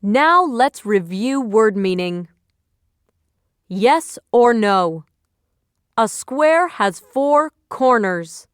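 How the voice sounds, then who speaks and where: A woman reading a scripted educational quiz in measured, instructional phrases, like a teacher. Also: on the high side, expressive, smooth, no echo, clean studio sound.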